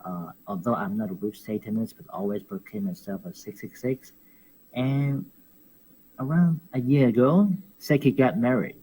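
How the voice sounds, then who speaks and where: Man, interview guest, low-ish voice, animated and prone to laughing, stumbles and repeats words. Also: normal speed, a little hiss or hum, low-quality recording. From Hong Kong. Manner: animated, laughs often, repeats words while searching for phrasing. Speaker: professional wrestler guest. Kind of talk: casual interview chat.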